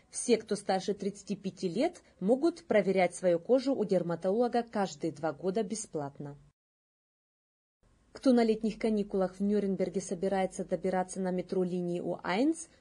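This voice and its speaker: A woman news presenter reads from a script in a mid-range voice. Her tone is soft-edged and dark, with a light huskiness. She sounds like an adult in her thirties delivering a bulletin. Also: medium pitch, slightly rough.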